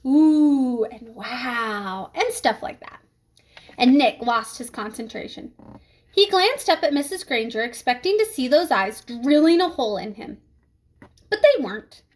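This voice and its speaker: A woman reading a children's book aloud with expressive storytelling phrasing. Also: sits high, a little slow.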